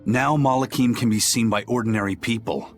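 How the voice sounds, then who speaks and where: A man playing a gruff, worldly male spirit pirate in a video game, terse and matter-of-fact. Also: medium pitch, a little fast, soft background music, lightly acted. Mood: grave. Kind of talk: video game cutscene acting.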